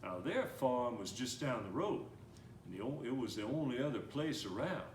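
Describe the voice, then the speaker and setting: A man reading a picture book aloud in a gentle, animated storytelling manner, slowing down and speeding up to shape the tale. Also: mid-range voice. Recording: roomy, somewhat distant mic with faint noise.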